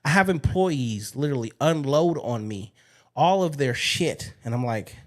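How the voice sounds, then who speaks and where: A man's voice: medium pitch, lively intonation, moderate pace. A man hosting a podcast, talking in a frank, casual way and swearing freely. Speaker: podcast host. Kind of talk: casual interview chat.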